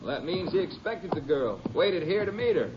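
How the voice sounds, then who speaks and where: A man's voice: on the high side, very animated, normal speed. Folksy lawman sidekick, muffled old radio. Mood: puzzled, wary. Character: plain-spoken male Ranger sidekick who knows the country. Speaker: radio actor. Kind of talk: 1950s radio drama acting. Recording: noisy, muffled old-time radio recording.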